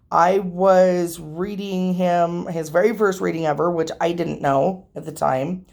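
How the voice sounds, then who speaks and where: A woman talks casually to her viewers in a low, gravelly voice that has gone hoarse and a little nasal from allergies. She rambles chattily, with plenty of ums along the way. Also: darkish tone. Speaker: tarot reader and channel host. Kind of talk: casual vlog talking to viewers.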